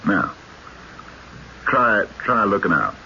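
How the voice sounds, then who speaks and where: An actor playing a shrewd, unhurried, plain-spoken country lawman in a radio mystery drama. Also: fairly low pitch, sing-song, creaky, bright, forceful tone, full character voice. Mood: methodical, probing. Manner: folksy, plain-spoken, probing. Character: shrewd, unhurried backcountry lawman, skeptical and methodical. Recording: dull, noisy vintage radio broadcast.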